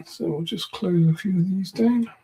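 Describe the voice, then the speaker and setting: Brief: male live-stream host, relaxed and offhand, with a gravelly, husky voice. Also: high-pitched, normal speed. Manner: relaxed, offhand asides about tech problems. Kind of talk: casual chat while setting up a live stream.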